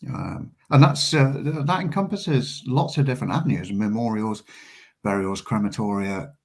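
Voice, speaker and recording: Guy being interviewed, kinda husky, lots of ums, earnest and hesitant. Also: on the low side, moderate pace, a little mellow. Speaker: humanist celebrant, guest. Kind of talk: interview guest.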